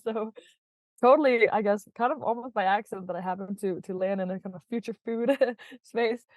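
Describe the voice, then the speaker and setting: Woman guest from Denmark, mid-pitched, talkative and giggly; close, dry mic. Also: fairly quick, no echo. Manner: chatty, laughs often, many "like" and "kind of" fillers. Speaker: guest, startup founder. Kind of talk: casual podcast interview.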